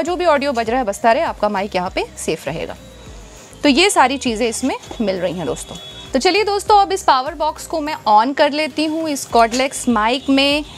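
A young adult woman, demonstrating a product to viewers and explaining it step by step. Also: on the high side. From India. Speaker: product reviewer and presenter. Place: indoors, small room stacked with product boxes.

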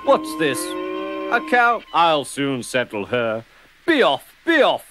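Man playing a blustering engine, high and loud, storybook. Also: huge pitch swings, takes it slowly, noisy background, full character voice. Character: bossy then nervous big male steam engine.

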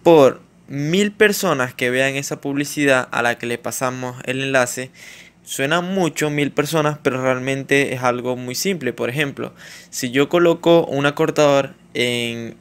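A man from Latin America talks through a screen-recorded tutorial, relaxed and informal. His voice is smooth and resonant, at an ordinary pitch for a man. He often hesitates with fillers and starts sentences over. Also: a little slow. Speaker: YouTuber explaining online earnings.

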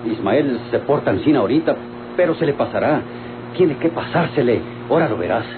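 An actor playing an earnest, pleading young rancher in an old radio drama, with a rural Mexican accent. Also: male voice, medium pitch, lively intonation, brisk, natural voice. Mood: wounded, tender. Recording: tinny, noisy old radio drama recording.

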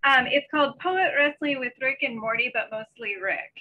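A woman's voice: high-pitched, moderate pace. A middle-aged woman, a poet presenting and reading her own work over a video call from home. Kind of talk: poetry reading with informal introductions. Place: small bedroom at home. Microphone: computer mic on a video call. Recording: slightly dull video call audio.

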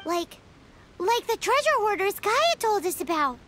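A woman voicing a tiny childlike fairy companion, eager and easily indignant, in animated video game dialogue. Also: extremely high pitch, a little slow, background noise, full character voice. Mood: confident, chatty. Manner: speaks of herself in the third person. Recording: music behind the voice.

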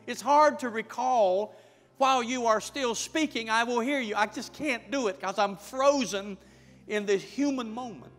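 A middle-aged male pastor preaching and praying fervently before his congregation, building to loud prayer. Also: very high-pitched, unhurried. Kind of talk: sermon and prayer. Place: large church sanctuary with congregation. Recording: church hall with music behind.

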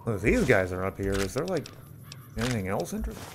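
A man's voice: lowish voice, very animated, fast, gravelly, noisy background. A man giving casual, rambling gameplay commentary with a wry manner and some mild swearing. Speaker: gaming video host playing Fallout. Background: video game music.

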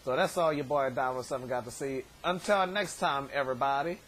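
A man in his thirties, hoarse from shouting, hosting a casual vlog from his bedroom on a webcam, rambling with many stammered repeats. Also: mid-range voice, brisk, slight background noise. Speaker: show host. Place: bedroom, indoors. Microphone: webcam at desk distance.

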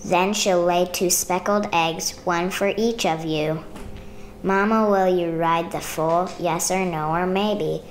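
A woman reading a children's picture book aloud in measured, rhythmic rhyme. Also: lowish voice, steady tone, slight background noise. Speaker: storybook reader.

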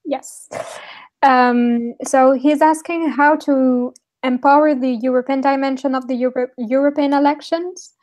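A woman's voice: sits high, darkish tone. A young woman reading out an audience question over a video call on an earphone mic, somewhat tentative. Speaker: question reader. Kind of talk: casual discussion.

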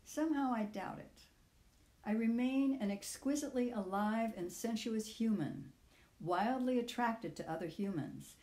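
An older woman in her sixties or beyond reads her own reflective personal essay aloud in a measured, literary way, with deliberate phrasing. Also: mid-range voice, dark tone, reverberant. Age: 60s or older. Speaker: author reading own audio essay.

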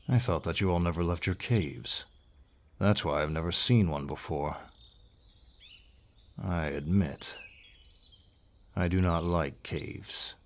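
An actor playing a large, gruff but kindly barbarian warrior in an audio roleplay, measured and reassuring, with a deep voice. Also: male voice, darkish tone, exaggerated voice. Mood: curious. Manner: measured, reassuring, with pauses and sighs. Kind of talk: scripted audio roleplay, addressing the listener. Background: birdsong forest sound effects.

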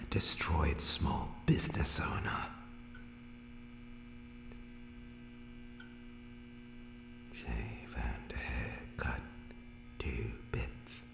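A man voicing an unhinged, hair-obsessed monster barber in a horror ASMR roleplay, muttering broken, repetitive phrases in a creepy, pleading, breathy whisper. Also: extremely low pitch, drawn-out words, roomy sound, music in the background, exaggerated voice. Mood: brooding, menacing.

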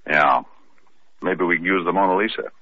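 A man's voice: low voice, normal speed. A man playing a wisecracking detective who narrates and questions witnesses in an old radio mystery. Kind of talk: 1940s radio acting. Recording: tinny old radio recording with faint hiss.

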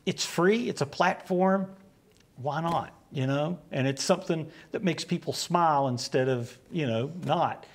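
Guest, man in his 60s, West Virginian accent, gravelly, easygoing storyteller, lapel mic. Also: fairly high pitch, a little slow. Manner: rambling, anecdotal, acts out quoted exchanges. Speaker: guest, comic book writer. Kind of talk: relaxed storytelling interview. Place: small studio set.

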